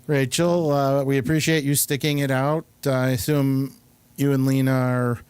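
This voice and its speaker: A man hosting a casual interview, speaking in a halting, hesitant way with many pauses. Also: medium pitch, flat delivery, a little mellow, clear, resonant tone, no echo. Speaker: show host and interviewer.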